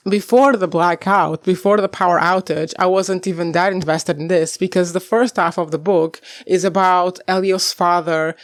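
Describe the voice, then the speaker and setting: A young woman from Portugal chatting casually to camera about books, rambling and animated, laughing often and swearing freely, her voice on the deep side. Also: moderate pace, few pauses, no room sound, clean studio sound. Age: young adult. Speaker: book vlogger.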